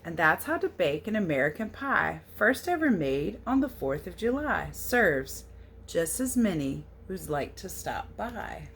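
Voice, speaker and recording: Woman, slightly low voice, lively swooping intonation, teacher's read-aloud style, casual asides to young listeners, faint background noise. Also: unhurried. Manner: animated, questioning, sing-song verse delivery. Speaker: teacher reading a picture book to children.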